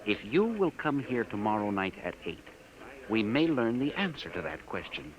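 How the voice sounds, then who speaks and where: A man's voice: on the low side, big pitch swings, moderate pace, a crowd in the background. An actor playing a meek, fussy male records clerk who stammers timidly, in a 1950s radio drama. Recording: scratchy, hissy old radio recording.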